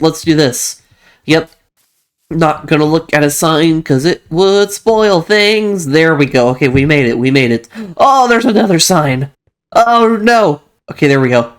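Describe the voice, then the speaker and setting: A stuffy-sounding host talks through gameplay in a loose, chatty way, often doubling back and restarting sentences. The voice sits at an ordinary middle pitch, and soft music plays underneath. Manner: chatty, rambling, self-correcting with frequent restarts. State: stuffy from mild allergies. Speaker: channel host. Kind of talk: casual gaming commentary over gameplay.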